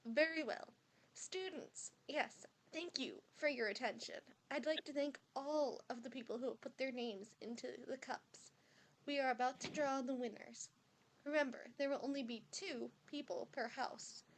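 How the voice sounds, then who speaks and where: A woman's voice: high-pitched, normal speed, slight background noise. A woman playing a stern but kindly older witch professor, formally addressing pupils in an amateur audio drama. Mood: brisk, orderly.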